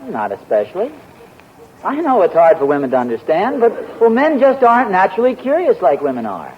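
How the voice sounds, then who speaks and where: A man's voice: sits high, huge pitch swings, fairly quick. A man acting a teasing, easygoing husband in a 1940s radio sitcom. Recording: dull old radio broadcast with studio audience laughter and hiss.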